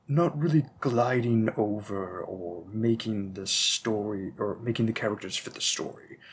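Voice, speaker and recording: A man's voice: on the low side, fairly bright tone, slightly rough, heavily acted. A man playing a patient, bookish vampire bookseller with a hint of menace, musing hesitantly in a conversational roleplay audio drama. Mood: musing, keen. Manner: musing, hesitant, deliberate, with frequent pauses.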